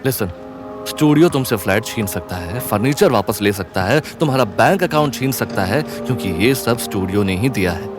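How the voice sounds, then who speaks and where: A man's voice: lowish voice, animated, normal speed, over music, natural voice. A man voicing an earnest, pleading young man in a Hindi audio drama, mixing in some English.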